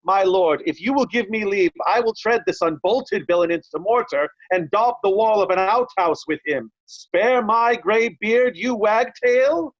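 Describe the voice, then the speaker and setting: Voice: mid-range voice, unhurried, few pauses, lo-fi sound, natural voice. An actor playing a blunt, hot-tempered old loyalist in Shakespearean reader's theatre, scornful and emphatic, piling up insults. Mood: indignant, insulting.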